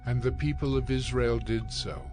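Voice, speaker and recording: A man's voice: medium pitch, moderate pace. A man giving scripted narration of scripture as the narrator of an audio production. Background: music.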